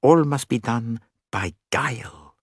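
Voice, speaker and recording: A man's voice: mid-range voice, slightly rough, dry recording, studio quality, pitched above the performer's own voice. A man playing an elderly detective, courteous, methodical and a little weary, speaking steadily in a story reading. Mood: solemn. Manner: steady, measured.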